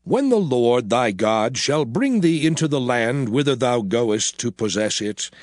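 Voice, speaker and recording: A man narrating a dramatized King James scripture reading in a slow, grave, emphatic declamation. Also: mid-range voice, expressive, dry recording, studio quality.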